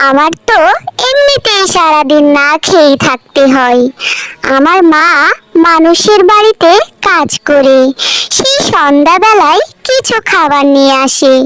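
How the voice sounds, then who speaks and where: An adult putting on a naive little boy, very high. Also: pushed and bright, exaggerated voice. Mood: plaintive, innocent. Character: naive poor hungry little boy, eager and pleading. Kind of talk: children's cartoon story dialogue.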